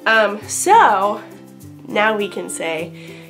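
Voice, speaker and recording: A woman's voice: mid-range voice, very animated, extremely slow, a bit bright, poor audio. Young woman, stuffy nose from a cold, rambly chatty knitting talk, faint music behind. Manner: chatty, lots of ums and likes. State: congested, getting over a cold. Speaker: knitting podcast host. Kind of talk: casual chat to camera.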